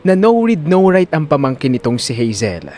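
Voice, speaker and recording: Animated Filipino male storyteller. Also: mid-range voice, moderate pace. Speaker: narrator of an audio drama. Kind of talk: dramatic scripted story narration.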